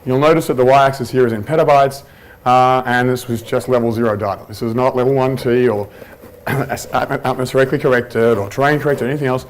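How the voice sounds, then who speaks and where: Relaxed man giving a talk. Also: mid-range voice, fairly quick. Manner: relaxed, chatty, frequent ums and restarts. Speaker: conference presenter. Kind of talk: informal conference talk with anecdotes. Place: conference room at a workshop. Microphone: handheld microphone.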